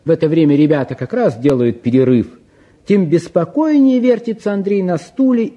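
A man narrates a radio play in a very dark, husky voice. His delivery is expressive and scripted, with lively swings in pitch.

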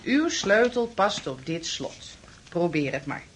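An actress playing a placating but firm landlady in a radio play, coaxing and patronising, with a breathy voice. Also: on the low side, sing-song, measured pace, noisy background. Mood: soothing, firm. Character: placating, firm landlady humouring an unwell male lodger. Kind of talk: Dutch radio play acting.

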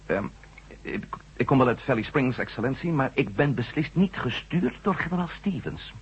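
Sceptical male reporter in an old radio play, very rough husky voice, lively pitch, politely pushy. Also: moderate pace, highs cut off. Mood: insistent, challenging. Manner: polite but challenging. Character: persistent, sceptical male ex-astronaut newspaper reporter. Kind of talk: radio drama acting.

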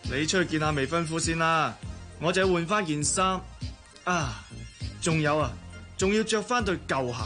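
Easygoing hong kong older brother, wry, slightly high voice, radio play, faint music. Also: male voice, normal speed, bright, forceful tone. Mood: playful. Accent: Hong Kong Cantonese. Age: young adult. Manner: wry, reflective, teasing. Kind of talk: Cantonese radio drama acting.

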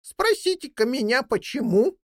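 A woman voicing an old female jackdaw in a children's fairy tale, motherly, bossy and theatrical, with drawn-out emphatic delivery. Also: high-pitched, very animated, no echo, clean studio sound, pitched above the performer's own voice. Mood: earnest, excited.

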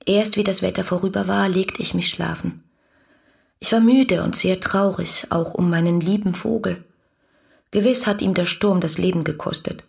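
An actress playing an ardent, lovestruck young woman who speaks her letters lyrically and fervently in a radio drama, with a husky voice. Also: fairly low pitch, fairly even intonation, normal speed, a little mellow. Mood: wistful. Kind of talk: literary radio drama monologue.

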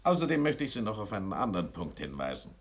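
A man's voice: fairly low pitch, sing-song, quick pace. A man playing a principled, legalistic justice minister in a 1960s German radio drama, speaking formally and precisely. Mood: firm, admonishing.

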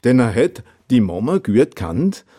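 Dark-voiced Alsatian man telling anecdotes. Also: mid-range voice, very animated, moderate pace. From Munster valley, Alsace. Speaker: interview guest. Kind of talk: anecdotal storytelling.

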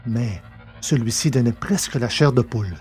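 A man reading scripted science fiction narration in a measured, literary way. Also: medium pitch, darkish tone. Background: music.

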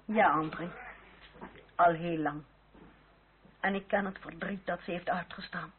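A woman's voice: fairly low pitch, steady tone, measured pace, lightly acted. An actress playing an anxious, motherly French aristocratic lady, middle-aged, in an emotional and genteel radio drama style. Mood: motherly, pleading. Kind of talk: period radio drama acting. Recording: tinny, noisy old radio play recording.

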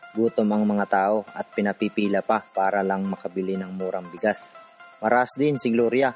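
A man's voice: fairly low pitch, darkish tone, natural voice. An actor playing a poor man from a city slum, narrating his own hard life in a scripted radio drama.

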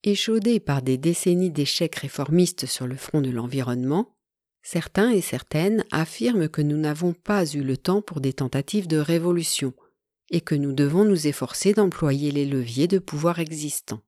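A woman narrating a nonfiction audiobook in a measured, even reading style. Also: low-pitched, no room sound, clean studio sound.

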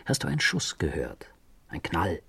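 A male police detective with a deep, rough-edged voice recorded close and dry. He questions patiently and gently, his pitch moving freely, in the manner of a crime radio play. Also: big pitch swings, dry recording, heavily acted. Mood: probing, calm. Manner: measured, polite questioning.

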